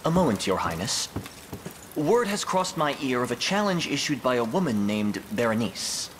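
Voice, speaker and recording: A man's voice: medium pitch, big pitch swings, normal speed, background noise, close to an everyday voice. A man playing an earnest, loyal knight in a fantasy game, speaking in a formal, stern, courtly manner. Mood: respectful. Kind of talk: video game fantasy acting.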